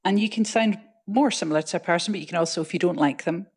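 A woman's voice: on the low side, fast talker, a little mellow, husky. A middle-aged woman with a Scottish accent, a professor explaining ideas thoughtfully in a radio interview, with many fillers. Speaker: linguistics professor, guest.

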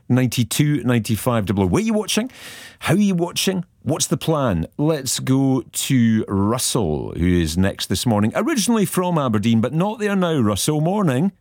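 Lively radio host. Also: male voice, mid-range voice, dry recording, clean studio sound. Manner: chatty, upbeat questioning. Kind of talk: radio phone-in presenting.

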